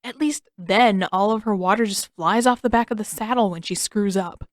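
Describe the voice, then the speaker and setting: A woman's voice: mid-range voice, moderate pace, no room sound, clean studio sound, close to an everyday voice. A woman voicing a grumbling, sarcastic teenage boy who whines and complains in an audiobook story.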